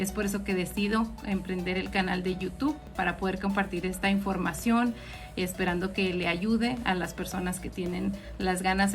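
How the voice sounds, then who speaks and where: A woman with a northern Mexican accent hosting an informal live question-and-answer session, explaining things directly to her listeners. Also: medium pitch. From Ciudad Juárez, Mexico. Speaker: host, accountant answering audience questions.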